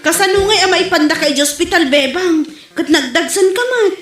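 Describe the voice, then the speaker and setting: A woman's voice: extremely high pitch, lively intonation, normal speed, a bit bright, soft background music. A woman with an Ilocano accent playing an exasperated, teasing friend in a village comedy, exclaiming and scolding. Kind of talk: Ilocano comedy drama acting. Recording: echoey room.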